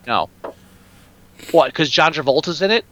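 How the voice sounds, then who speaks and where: A man's voice: on the high side, animated, measured pace, a bit bright, slight background noise. A man co-hosting a podcast, ranting in exasperated, heavily profane and opinionated casual talk. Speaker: podcast co-host. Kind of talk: casual, opinionated film chat.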